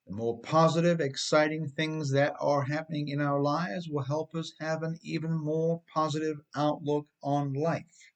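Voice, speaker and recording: A middle-aged man, an author reading his own scripted motivational writing with emphatic, deliberate phrasing. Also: a little high, fairly even intonation.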